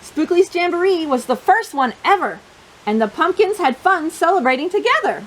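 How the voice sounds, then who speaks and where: Female children's storytime host. High voice with big melodic swings, expressive delivery pitched at little kids. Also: moderate pace, a little hiss or hum, low-quality recording. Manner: expressive, simple phrasing addressed to young children. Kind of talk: children's storybook reading and craft chat.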